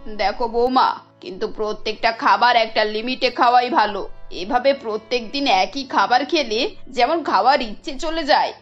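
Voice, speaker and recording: Woman as scolding cartoon mother-in-law, high voice. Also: fairly bright tone, lightly acted. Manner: admonishing, lecturing. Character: scolding, preachy middle-aged mother-in-law. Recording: dull lo-fi sound.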